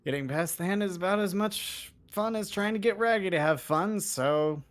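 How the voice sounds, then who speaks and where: A man's voice: high-pitched, moderate pace. A man chatting casually as a player in an online tabletop roleplay game.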